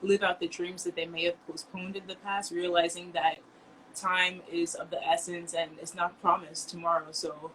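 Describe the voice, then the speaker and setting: A woman's voice: fairly low pitch, level tone, normal speed, a bit bright, rough recording. Affirming woman host, faint noise behind. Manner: affirming, asks prepared questions. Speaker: podcast host. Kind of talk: interview host.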